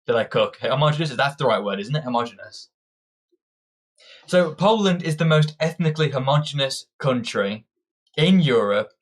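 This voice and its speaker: Combative young man ranting. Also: medium pitch. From Britain. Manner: combative, ranting, frequent restarts. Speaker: political commentator. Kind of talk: opinionated monologue.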